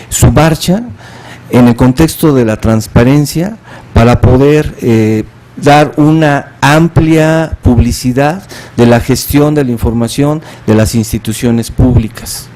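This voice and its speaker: Measured man speaking on a panel. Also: mid-range voice, warm tone. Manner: measured, thoughtful, many filler pauses. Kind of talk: academic panel discussion. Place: conference hall.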